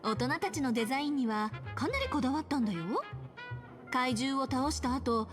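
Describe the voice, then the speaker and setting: A bubbly young anime girl from a video game, theatrical and cutesy. Her voice is high and swings in pitch, with clear music playing behind it. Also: lively intonation, rapid-fire. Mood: cheerful presenting. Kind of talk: Japanese anime game voice acting.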